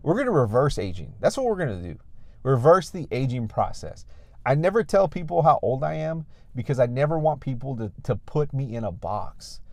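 A man's voice: medium pitch, sing-song, normal speed, creaky, dry recording. Emphatic American male fitness coach. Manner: emphatic, repetitive, exhorting. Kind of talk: motivational talk to an audience online.

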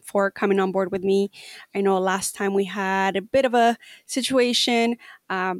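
A woman's voice: medium pitch, no echo. Woman from Ecuador, podcast host, friendly, dry close mic. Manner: friendly, encouraging, frequent ums. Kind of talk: casual interview conversation.

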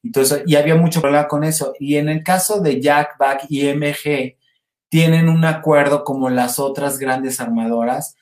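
A man's voice: on the high side, fairly even intonation, normal speed, darkish tone. A man with a Mexican accent hosting a casual livestream, chatting with viewers in a rambling, informal way. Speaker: livestream host. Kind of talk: casual live chat with viewers. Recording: roomy, echoey sound.

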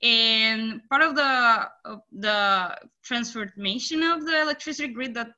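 A young woman with a Chilean Spanish accent, a Spanish speaker talking in English. Her voice is bright and sits a little high, and she talks with eager enthusiasm, full of fillers and easy laughter. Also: unhurried, highs cut off. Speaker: guest, design engineer. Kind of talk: casual interview chat.

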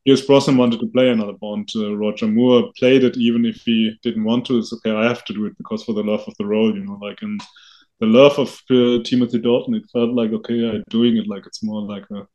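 Halting man chatting on a podcast. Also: lowish voice, level tone, mellow, highs cut off. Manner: halting, many fillers and restarts. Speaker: podcast co-host. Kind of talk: casual chat.

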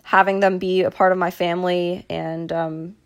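A woman's voice: lowish voice, level tone, normal speed. Young texas gal hosting her own podcast, chatty, lots of ums and false starts. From Dallas, Texas. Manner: chatty, many ums and restarts. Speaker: podcast host, mental performance coach. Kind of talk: casual solo podcast introduction.

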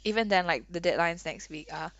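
A woman's voice: on the low side, a little fast, fairly bright tone, no room sound. A young woman from Malaysia co-hosting a podcast, chatting casually in rambling bursts that trail off. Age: young adult. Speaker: podcast co-host. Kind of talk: casual chat. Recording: dry, dull lo-fi sound.

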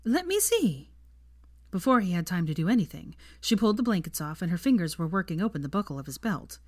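A woman narrates a piece of fiction in an expressive audiobook manner. Her voice sits slightly low. The recording is close and dry, with very little room around it. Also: fast talker, no room sound.

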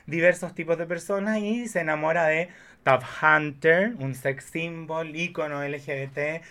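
High-pitched Chilean man, campy and effusive. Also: steady tone, measured pace, bright, forceful tone, clear, resonant tone. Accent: Chilean Spanish. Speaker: podcast guest. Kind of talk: animated casual chat about films.

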